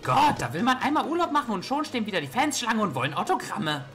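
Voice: medium pitch, big pitch swings, fast, fairly bright tone. A pompous, irritable male master detective played by an actor in a parody audio drama. The voice is piercing and swings theatrically as he grumbles, with faint music underneath. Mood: annoyed, haughty. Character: irritable, self-important old-school male detective named Edgar, given to visions.

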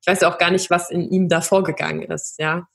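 Soft-spoken young woman, halting and reflective. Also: on the low side, flat delivery, vocal fry. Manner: thoughtful, many hesitations and fillers. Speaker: podcast guest. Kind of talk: personal interview.

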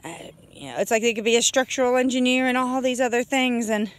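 A woman in her forties giving a casual, halting homestead video diary outdoors, talking into a phone at arm's length. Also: a little high, steady tone. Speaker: homesteader vlogger. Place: outdoors on a farm. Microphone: phone held at arm's length.

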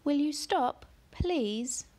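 A woman voicing an upset, tearful young girl, pleading in a high voice. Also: animated, drawn-out words, a little mellow, full character voice.

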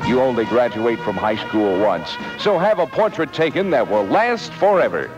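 A man reads a scripted local ad in a medium, expressive voice. The recording is lo-fi 1970s AM radio, with music playing behind. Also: medium pitch, moderate pace. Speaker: commercial announcer.

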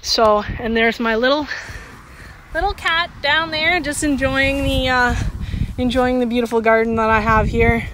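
Slow-talking woman on a garden tour. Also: high-pitched, background noise. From Canada. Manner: relaxed and chatty, with many ums. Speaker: homesteader vlogger. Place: outdoors in a sunny vegetable garden. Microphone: phone held at arm's length.

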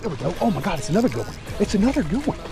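A young man vlogging while fishing outdoors by the water, excitable and quick to laugh. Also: high voice, music in the background. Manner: excitable, shouting and laughing at catches.

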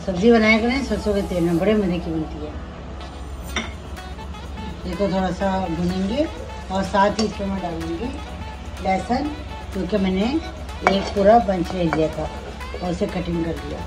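Chatty woman with a Pakistani Urdu accent, home cooking host, mid-pitched, narrating over light music. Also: moderate pace, long pauses, poor audio. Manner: chatty, homely, explains each step as she goes. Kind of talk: casual cooking demonstration voice-over. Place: home kitchen. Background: background music.

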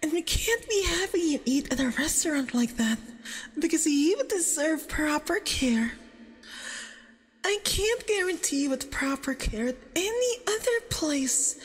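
A woman playing a nervous, clingy, obsessive young femboy boyfriend on a date in an ASMR roleplay, halting and doting, with doubled, reverberant audio. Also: sits high, big pitch swings. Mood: anxiously reassuring. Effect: doubled audio with added reverb. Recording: long reverb, doubled voice track.

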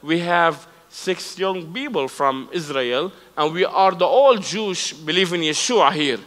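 A man's voice: a little high, unhurried, a bit bright. A man in his forties with an Arabic accent, telling his testimony from a church stage in broken English and acting out both sides of remembered conversations. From Palestinian, raised in Lebanon. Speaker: guest speaker. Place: church stage with live audience. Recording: reverberant hall.

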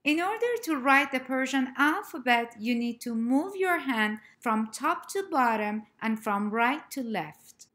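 Slow, careful woman teaching a lesson. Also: sits high, animated, fairly bright tone. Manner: slow, careful, clearly spelled-out letter names. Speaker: language teacher. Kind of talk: scripted narration of a slide lesson.

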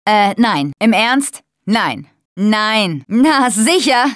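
A woman's voice: mid-range voice, very animated, extremely slow, a bit bright, no room sound, studio quality, full character voice. A young woman acting a spirited, caring apocalypse survivor in a video game, speaking in short, emphatic phrases. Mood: refusing. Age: young adult.

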